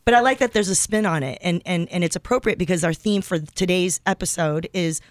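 A woman co-hosting a podcast in a studio, introducing guests in a relaxed, conversational way. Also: lowish voice, dry recording. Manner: relaxed, reactive with quick interjections. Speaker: podcast co-host. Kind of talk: casual chat, introducing guests.

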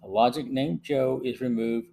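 A middle-aged man hosting a review podcast, reading scripted narration in a measured way. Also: medium pitch, fairly even intonation, vocal fry, dark tone. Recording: faint hum and background noise.

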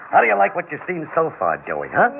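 A man playing a chatty, excitable father coaxing his baby son in an old radio drama. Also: a little high, creaky, heavily acted. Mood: playful, chatty. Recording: noisy, narrow-band vintage radio recording.

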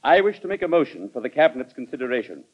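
An actor playing a stubborn, combative Treasury Secretary in an old-time radio drama, argumentative and clipped. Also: male voice, mid-range voice, big pitch swings, rapid-fire, slightly rough. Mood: insistent. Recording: dull vintage radio recording.

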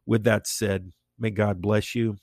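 A man hosting a podcast, preaching in a slow, emphatic, declarative way, his voice congested and slightly nasal from allergies. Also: lowish voice, level tone, darkish tone, no room sound, studio quality. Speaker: podcast host.

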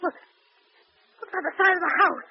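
A woman's voice: very high voice, fairly even intonation, moderate pace. A woman playing a gangster's streetwise, defiant young girlfriend, talking in slang in an old radio crime drama. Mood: frantic, defiant. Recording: muffled, noisy old radio recording.

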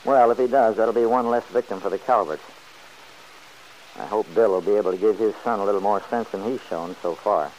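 A man's voice: fairly low pitch, fairly quick, darkish tone, lightly acted, low-quality recording. An actor playing a stubborn, good-hearted, folksy man who grumbles plainly in an old-time radio serial. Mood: skeptical. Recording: hissy old radio recording.

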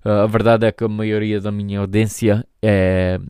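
A man in his thirties with an American accent speaking European Portuguese, hosting a solo podcast and often pausing and restarting as he searches for words. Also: on the low side, level tone, a little mellow, clear, resonant tone, dry recording, studio quality. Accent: European Portuguese, spoken by an American. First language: English. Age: 30s. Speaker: podcast host.

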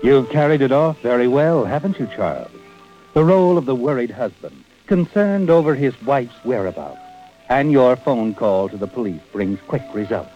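Male actor as insinuating 1940s suspense storyteller, American, sardonic, very animated mid-range voice, dull vintage radio sound. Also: medium pitch, moderate pace, close to an everyday voice. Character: sinister, knowing narrator addressing the murderer. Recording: muffled old radio recording with music.

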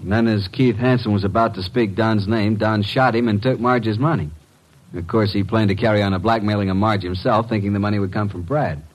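A man's voice: lowish voice, steady tone, brisk, close to an everyday voice, rough recording. An actor playing a brash, loyal detective's assistant with punchy, informal tough-guy talk in old radio style. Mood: curious, weary. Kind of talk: 1940s-50s radio mystery acting.

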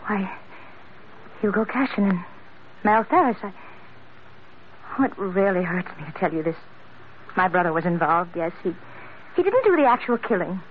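An anxious, pleading young woman played by an actress in a vintage radio drama. Her voice sits fairly low and she speaks with earnest, dramatic insistence. The old recording is thin and phone-like, with faint noise. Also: sing-song, normal speed, pauses often, natural voice. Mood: distressed, confessing.

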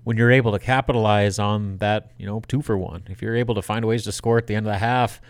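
Male co-host for sports talk, lower register, conversational analysis, very little room sound. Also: steady tone, quick pace, no echo.

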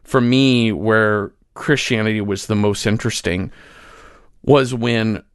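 A man in a casual, reflective interview as a guest, talking in a halting way as he thinks aloud. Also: on the low side, steady tone, smooth, dry recording, clean studio sound. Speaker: podcast guest, fiction writer.

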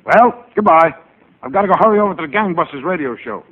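A man's voice: sits high, animated, quick pace, slightly rough. A man playing a brash pickpocket in 1940s radio comedy. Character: brash pickpocket hoping to win prizes. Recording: dull, noisy old radio recording.

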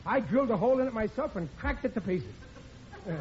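A bumbling, excitable American husband in a vintage radio comedy, pitched high for a man. He stammers and pleads earnestly, on a dull old recording with some hiss. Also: fast talker. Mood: earnest, proud. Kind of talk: 1940s radio sitcom acting.

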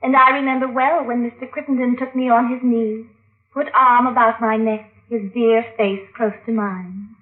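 A high, theatrical female voice from vintage radio drama, an actress at work. She is a lovelorn defendant on the stand, coy and melodramatic. The recording is narrow and muffled. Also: steady tone, normal speed, natural voice. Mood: tender, coy. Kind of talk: old-time radio acting.

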